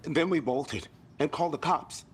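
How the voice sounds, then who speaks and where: A man playing a rattled tourist witness in a video game, halting and colloquial as he gives his account. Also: a little high, a little hiss or hum, close to an everyday voice. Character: rattled male tourist recounting a frightening attack. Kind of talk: naturalistic video game acting.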